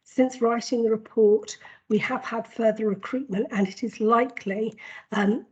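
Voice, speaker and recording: A woman with a cold speaks in a husky, breathy voice, giving a formal report at a board meeting. She talks in a measured way, with many ums and restarts. The audio is dull and lo-fi. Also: a little high, fairly even intonation. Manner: measured, frequent ums and repeated words. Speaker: health board executive presenting a report. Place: board meeting, video call.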